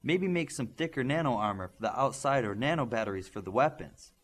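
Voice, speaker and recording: A man voicing a practical adult female scientist in an audiobook, with a measured, precise, businesslike manner. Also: medium pitch, animated, a bit bright. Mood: grateful, thoughtful.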